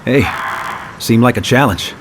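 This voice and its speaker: An actor playing an unflappable heist hero in a video game, laconic and sardonic. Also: male voice, medium pitch, measured pace, noisy background, lightly acted. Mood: wry. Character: wry, unflappable male action hero on a heist. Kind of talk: video game cutscene acting.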